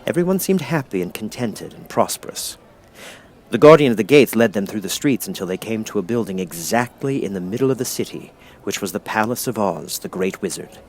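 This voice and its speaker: A man reading scripted storybook narration as an audiobook narrator, in measured, clear phrases. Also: fairly low pitch, lively intonation.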